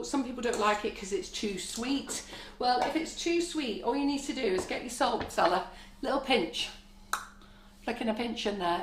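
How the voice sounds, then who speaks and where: An older woman teaching a baking class from her kitchen, chatty and relaxed in a soothing teaching manner. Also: fairly high pitch. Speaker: cake decorating teacher. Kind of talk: live baking class demonstration. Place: home kitchen. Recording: echoey kitchen, faint noise.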